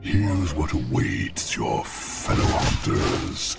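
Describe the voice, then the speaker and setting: A man's voice: low-pitched, lively intonation, slow, heavily acted. A man voicing a taunting, menacing dragon villain in a video game, with a growling, theatrical, mocking delivery. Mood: sly goading. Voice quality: gravelly, breathy growl. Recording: music behind the voice. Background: clear music.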